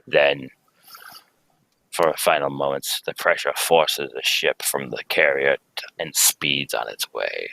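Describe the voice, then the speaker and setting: Very deep, forceful, solemn scientist guy acting it out, grave and theatrical, old-time radio play. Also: a little slow, vocal fry, dry recording, exaggerated voice, rough recording. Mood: earnest. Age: young adult. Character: grave, urgent Kryptonian scientist, husband and father. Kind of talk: live amateur reading of old radio script.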